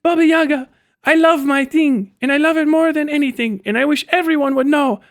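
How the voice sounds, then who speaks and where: A man's voice: very high voice, a little fast, voice pushed up. A man voicing a naive young woman in a fairy tale, gushing and pleading earnestly.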